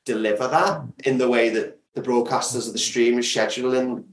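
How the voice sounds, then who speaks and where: A man's voice: medium pitch, fairly even intonation, moderate pace. A man speaking casually as a guest in an interview. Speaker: podcast guest, audio drama creator. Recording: roomy, echoing sound.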